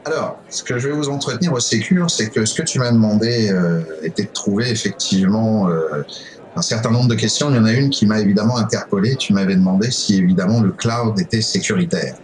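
A middle-aged man with a French accent from France, answering interview questions informally in a halting, digressive way with frequent self-corrections. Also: lowish voice, lively intonation, low-quality recording. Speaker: conference speaker, cybersecurity specialist.

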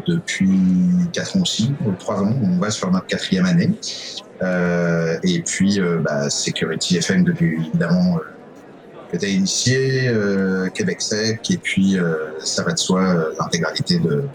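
A man's voice: deep voice, measured pace, faint music behind, low-quality recording. A middle-aged man with an accent from France, answering interview questions off the cuff in a hesitant, digressive way. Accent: French from France. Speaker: interview guest, cybersecurity specialist.

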